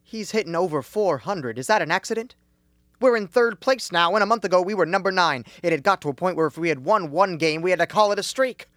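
A man plays a garrulous baseball manager and barkeeper in a radio comedy. His voice sits fairly high and swings widely in pitch. He rambles in a folksy, wry, colloquial way, recorded dry. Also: lively intonation, fast talker, fairly bright tone, no room sound. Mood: exasperated, worried. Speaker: student radio actor. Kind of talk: comedic radio play acting.

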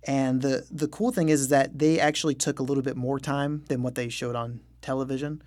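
A man's voice: medium pitch, level tone, brisk, darkish tone. A young man, a musician, talking candidly in an interview, self-deprecating and breaking into light chuckles. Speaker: musician guest. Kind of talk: casual interview talk.

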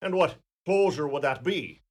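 An actor playing a courtly, pompous small-town Irish coroner in a radio play, speaking in an ornate, deliberate manner. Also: male voice, on the high side, close to an everyday voice. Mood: stately, pompous.

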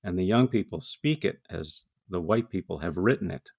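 A man's voice: deep voice, animated, moderate pace, vocal fry, darkish tone. A man hosting a podcast, conversational and asking questions. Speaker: podcast host. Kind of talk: podcast interview.

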